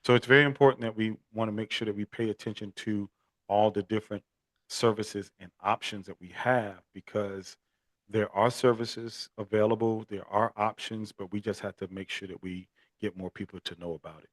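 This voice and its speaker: A man with a fairly low voice talks earnestly in a casual interview, often doubling words as he gets going. The sound is dry and close, with no room around it. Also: steady tone, moderate pace, dry recording. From Miami, Florida. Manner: earnest, repeats words when starting sentences. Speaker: podcast guest, equine therapy expert.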